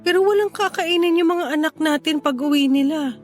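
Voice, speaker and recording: A woman's voice: high-pitched, brisk, natural voice. A woman playing a hurt, indignant middle-aged wife and mother in a radio drama, pleading and reproachful, with a Filipino accent. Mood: worried. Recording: faint music bed behind voice.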